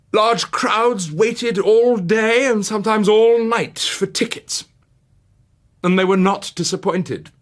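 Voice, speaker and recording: A British man narrates a life story as theatre. His delivery swoops between low and high with dramatic pauses and heavy emphasis, and the voice has a husky, creaky grain. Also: a bit bright. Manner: dramatic, dwelling on words with long emphatic pauses and swells. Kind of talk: theatrical scripted biographical narration.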